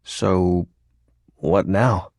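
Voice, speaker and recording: A man's voice: deep voice, fairly even intonation, extremely slow, creaky, dry recording, full character voice. An actor voicing a shy, sentimental, lonely young male shadow in a video game, speaking hesitantly and earnestly. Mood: shy, confessing. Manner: hesitant, soft-spoken, earnest. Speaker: datable game character. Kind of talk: video game character voice acting.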